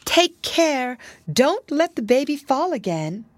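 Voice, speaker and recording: A woman voicing a little old fairy godmother in a storybook, gentle, solemn and knowing, and calmly unshakable. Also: mid-range voice, a little slow, dry recording. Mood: warning. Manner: calm, knowing. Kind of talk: storybook character voice.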